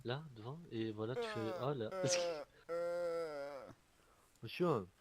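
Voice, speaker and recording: A man's voice: fairly high pitch, expressive, drawn-out words, a loud crowd. A man playing an online game, chatting casually and slipping into improvised roleplay.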